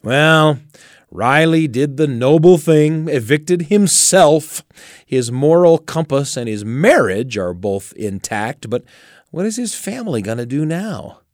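A man's voice: fairly high pitch, very animated, takes it slowly, dry recording, studio quality. A man hosting a radio show, narrating and recapping a story from a script with dramatic delivery.

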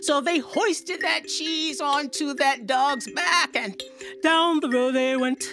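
A woman performs a children's tale in a high voice at a slow pace. She leans on rhythmic repetition and playful emphasis, with faint music present. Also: fairly bright tone. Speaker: storyteller. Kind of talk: animated oral storytelling for children.

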